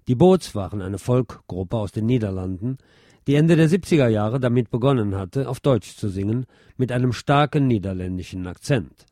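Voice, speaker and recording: A man delivers scripted narration in a measured, bookish way. His voice is mellow and relaxed, at a middling pitch. The recording is very dry and close. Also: no echo. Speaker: narrator reading an essay on German music history. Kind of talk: scripted narration, reading aloud.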